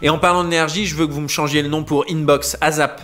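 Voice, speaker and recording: A man's voice: on the high side, level tone, fast talker, fairly bright tone, natural voice. A man playing a pushy male start-up boss in an amateur audio drama, brash and clipped, full of corporate buzzwords.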